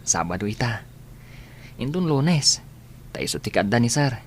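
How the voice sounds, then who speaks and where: A man's voice: medium pitch, big pitch swings, unhurried, a little hiss or hum. Earnest young male student in radio drama. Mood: earnest, determined. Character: dutiful, determined, sickly young male student.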